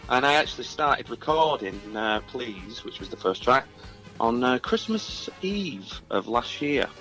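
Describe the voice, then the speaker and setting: A man's voice: mid-range voice, expressive, measured pace, bright, forceful tone, soft background music, poor audio. A man chatting casually as a musician guest in an interview, talking in a hesitant, rambling, understated way. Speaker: musician guest discussing his own album.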